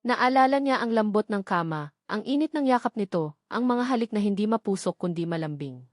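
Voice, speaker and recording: A woman with a Filipino accent reads a scripted romance story as a storyteller, with sentimental, dramatic phrasing and pauses. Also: mid-range voice, lively intonation, dry recording, studio quality.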